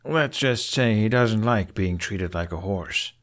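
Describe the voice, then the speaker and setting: A man's voice: on the low side, measured pace, fairly bright tone. A man voicing an awkward, earnest young male Viking dragon rider in an audiobook, hesitant and easily flustered. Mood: wry, reluctant. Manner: hesitant, stammering, flustered when pressed. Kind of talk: audiobook character acting.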